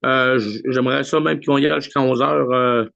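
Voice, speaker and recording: A man with a Québécois accent hosting a weekly video call in a relaxed way, with many pauses and filler sounds. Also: mid-range voice, vocal fry, no echo. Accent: Québécois French. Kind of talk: casual live address on a video call. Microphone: computer mic on a video call. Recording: dull lo-fi video call audio.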